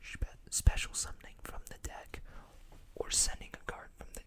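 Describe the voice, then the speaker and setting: An ASMR host whispering in an unhurried way, reading text aloud and adding small asides. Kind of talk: whispered ASMR card showcase.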